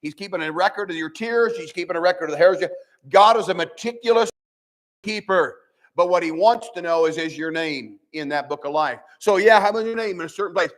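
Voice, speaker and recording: An older male pastor from the Midwest preaches in a church with a nasal, fairly high voice. His delivery is folksy and animated, and he restarts phrases often as he works through the scripture. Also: fairly quick. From American Midwest. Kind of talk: sermon.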